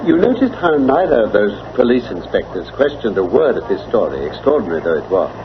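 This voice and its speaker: An actor playing a keen, analytical English gentleman detective in a vintage radio drama, with a British accent and precise, formal diction. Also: on the low side, very animated, brisk. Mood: analytical, assured. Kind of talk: mid-century radio drama acting. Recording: dull, noisy vintage radio recording.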